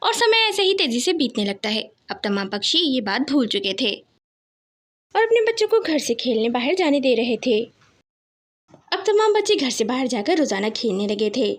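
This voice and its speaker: High-pitched woman narrating a children's story. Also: big pitch swings, normal speed.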